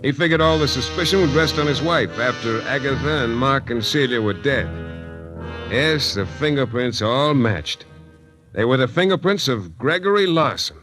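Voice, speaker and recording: An actor playing a wry, terse, flirtatious hardboiled private detective in a 1940s radio drama. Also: male voice, mid-range voice, lively intonation, moderate pace, close to an everyday voice. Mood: grave, explaining. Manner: wry, terse tough-guy patter. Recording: 1940s radio recording with music.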